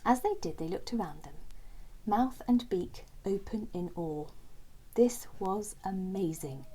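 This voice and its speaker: A woman reading a children's bedtime story aloud in a gentle, expressive storytelling way. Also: mid-range voice, slightly rough, low-quality recording. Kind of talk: scripted children's story narration.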